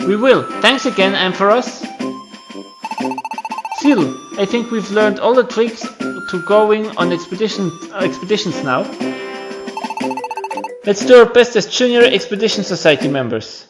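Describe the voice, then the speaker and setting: A man's voice: sits high, expressive, a little slow, long pauses. A man voicing an eager, friendly young creature in a game, keen and upbeat. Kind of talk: amateur game character voicing. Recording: music behind the voice.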